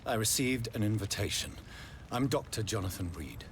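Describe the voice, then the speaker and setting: Deep, brooding British vampire doctor. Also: male voice, expressive, normal speed, heavy background noise. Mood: formal, guarded. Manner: formal, guarded, solemn. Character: grave, educated gentleman doctor turned vampire, brooding. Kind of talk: video game voice acting. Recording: loud orchestral music behind.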